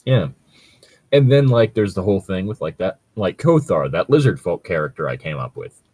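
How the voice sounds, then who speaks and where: A man's voice: sits low, big pitch swings, moderate pace, darkish tone, slightly rough, dry recording. A man chatting casually and at length about tabletop role-playing, rambling and swearing often as a co-host. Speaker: co-host reading and discussing stories.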